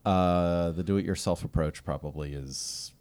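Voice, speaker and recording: Very low-voiced male interview host. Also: measured pace, a little mellow, smooth. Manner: conversational, asks questions with many fillers. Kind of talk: casual interview questions.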